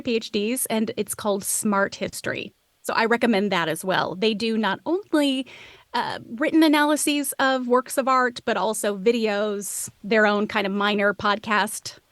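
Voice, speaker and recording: A woman in her forties speaks as an expert interview guest with a medium-pitched voice. She explains things conversationally, in an articulate and enthusiastic way. The sound is dry, with very little room echo. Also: a bit bright. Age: 40s. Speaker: art history podcaster and expert guest.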